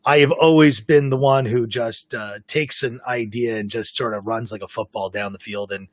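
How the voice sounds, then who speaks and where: A man hosting a live podcast, talkative and self-described as the loudest mouth of the group. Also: mid-range voice, steady tone, normal speed, no room sound. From Canada. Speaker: podcast host. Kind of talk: casual live podcast chat. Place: home in South Florida.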